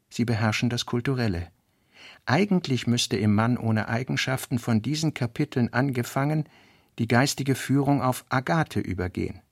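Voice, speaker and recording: A man's voice: mid-range voice, gravelly, no room sound, clean studio sound. A man reading literary narration and diary notes for a radio play.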